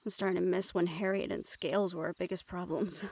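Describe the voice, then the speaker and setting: A woman's voice: on the low side, fairly even intonation, brisk. A woman playing a young monster hunter in an intimate audio roleplay, casual and sweary, wry, teasing and tender with a partner. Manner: casual, wry, sweary, breaks into soft chuckles. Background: music under the voice.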